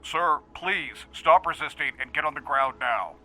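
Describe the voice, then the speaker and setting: A man's voice: on the high side, animated, unhurried, bright, forceful tone, soft background music. A man playing an armed tactical officer giving firm orders in an audio drama, with a radio effect. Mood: firm, urgent.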